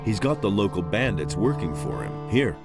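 An actor playing a blunt, plain-spoken adventurer hero with terse, matter-of-fact delivery in a video game. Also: male voice, on the low side, wildly swooping pitch, normal speed, gravelly, music in the background, exaggerated voice.

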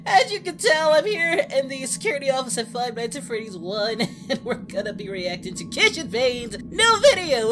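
A performer voicing a boastful but easily frightened cartoon anime hero, whiny and stammering, in a comedic reaction video. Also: high-pitched. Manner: whiny, frantic, stammering, prone to shrieking. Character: cartoon anime hero, jumpy scaredy-cat, boastful yet panicky.

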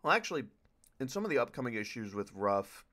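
A man's voice: mid-range voice, very animated, quick pace, a bit bright. A man who voice acts, chatting casually and loosely as a panelist at a Q&A. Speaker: voice actor panelist.

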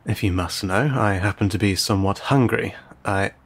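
A man playing an old vampire in an intimate audio roleplay, courteous and wry, with a husky, breathy voice and archaic, theatrical phrasing. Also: lowish voice, fairly bright tone. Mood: sly, teasing. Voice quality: husky, gravelly, often dropping to breathy whisper. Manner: archaic, formal, theatrical, teasing, halting.

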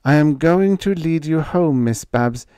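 A man's voice: a little high, animated, a little slow, dark tone, clear, resonant tone, no room sound, clean studio sound, pitched above the performer's own voice. A man voicing an earnest, formal robot. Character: huge male robot, plain and earnest.

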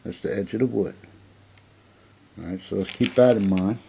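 A man's voice: on the low side, normal speed, gravelly, dark tone, slightly rough. An American man presenting an item as a seller in an informal sales video, blunt and sure of himself, with folksy phrasing. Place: indoor room, narrating from behind a handheld camera.

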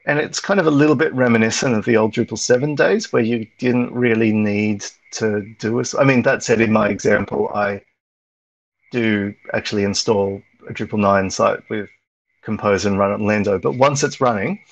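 A man's voice: fairly low pitch, normal speed, dull audio. A man presenting at an online meetup over slides, talking casually with frequent ums and restarts. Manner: rambling, lots of ums and restarts.

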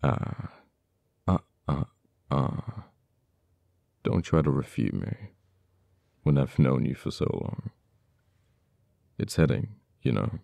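Casting: male actor for cold, uptight official in ASMR roleplay, extremely low gravelly voice, slow and halting, bitter over a lost friend. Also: lively intonation, dark tone, no room sound, heavily acted. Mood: stern, pleading. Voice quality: very deep, gravelly. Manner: halting, teasing, dramatic pauses and repeated words.

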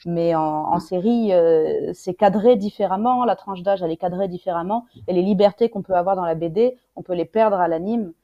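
Une femme qui travaille dans l'animation discute de façon décontractée, en invitée d'une table ronde en visioconférence. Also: female voice, on the low side, moderate pace, hardly any pauses, warm tone. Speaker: panel guest working in animation. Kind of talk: casual panel discussion. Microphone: video call from a room indoors. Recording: dull, lo-fi video call audio.

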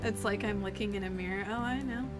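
Young woman, sarcastic, teasing, headset mic, small room, live commentary, mid-pitched voice, loud background music. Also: fairly even intonation, fast talker. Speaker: game streamer. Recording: loud game music behind.

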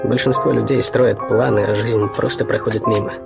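Man acting a weary, gravelly melancholy narrator. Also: lowish voice, steady tone, brisk, dull audio. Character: weary, melancholy man lamenting his wasted life. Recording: voice under loud music.

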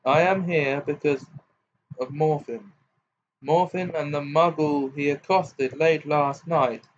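A man's voice: a little high, steady tone, slow pace, slight background noise. A man voicing a formal male official who speaks hastily and then firmly. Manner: hasty, then firm and formal. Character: flustered but dogged male official. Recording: dull lo-fi recording.

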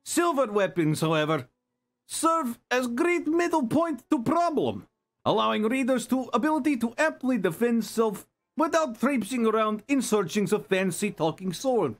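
A man's voice: extremely high pitch, big pitch swings, normal speed. A man voicing a proud, boastful barbarian hunter in broken English, reading a fantasy newspaper column in character. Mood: instructive, self-assured. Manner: clipped broken grammar, self-important third-person storytelling.

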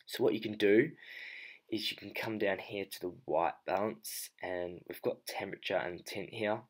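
A low-pitched male voice narrates a screen-recorded tutorial. He speaks casually and without a script, thinking aloud as he goes. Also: moderate pace. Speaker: photo editing tutorial presenter.

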